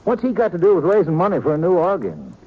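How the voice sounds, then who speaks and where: An actor playing a flustered, hesitant older small-town sheriff in an old radio western. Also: male voice, sits high, huge pitch swings, fast talker, slight background noise. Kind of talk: 1950s radio drama acting. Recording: muffled old radio recording.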